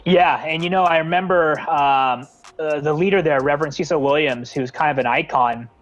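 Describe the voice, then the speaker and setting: A man's voice: on the high side, moderate pace, a bit bright, lo-fi sound. A young American man from the Bay Area, a nonprofit founder being interviewed, talking earnestly with many fillers and restarts. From San Francisco Bay Area. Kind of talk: casual interview talk.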